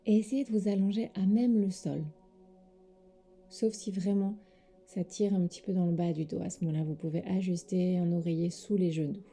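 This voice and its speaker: Female meditation guide: soft, lowish, husky-breathy and creaky, very dark tone, soothing delivery with pauses over faint music. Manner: soothing, gentle instructions with pauses. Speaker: yoga nidra teacher.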